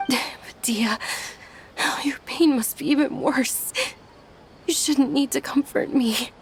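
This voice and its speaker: A woman voicing a gentle, emotional young noblewoman in a video game, tender and earnest with her friends. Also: high-pitched, slow, a little hiss or hum. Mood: tearful, apologetic. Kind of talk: video game character dialogue.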